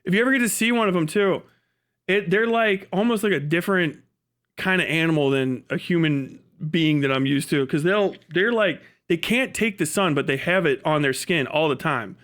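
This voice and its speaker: A man's voice: on the high side, normal speed, a bit bright. An American man live streaming, telling rambling, casual stories in profane, slangy language with comic exaggeration. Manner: breaks into laughter at own stories.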